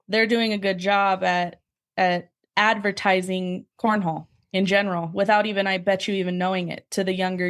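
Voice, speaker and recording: A young woman chatting casually as a podcast guest, restarting phrases and often tagging them with "right?". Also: mid-range voice. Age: young adult. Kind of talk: casual sports podcast chat.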